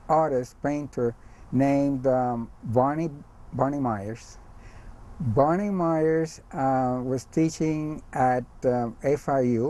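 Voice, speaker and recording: An older man from Cuba, speaking in a casual, hesitant way and often pausing with ums and uhs. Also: medium pitch, warm tone. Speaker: visiting artist. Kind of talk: casual spoken account.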